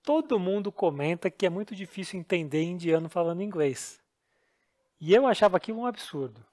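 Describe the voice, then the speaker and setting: High-voiced Brazilian man telling stories. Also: expressive, fairly quick, darkish tone. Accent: Brazilian Portuguese. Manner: earnest, explanatory, self-deprecating. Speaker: podcast co-host. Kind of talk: casual storytelling chat.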